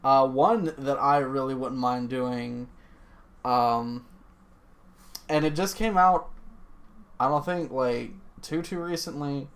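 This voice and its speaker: A man's voice: mid-range voice, slow, pauses often. A man in his twenties joking around and rambling on a casual podcast with friends. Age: 20s. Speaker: podcast co-host. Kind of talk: casual chat among friends.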